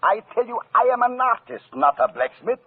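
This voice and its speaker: An actor playing a proud but cowardly violinist, excitable and sputtering, in old radio drama style. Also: male voice, very high voice, moderate pace, breathy edge, heavily acted. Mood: indignant. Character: indignant, nervous male violinist, proud and cowardly. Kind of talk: 1940s radio drama acting. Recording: scratchy, tinny old radio broadcast.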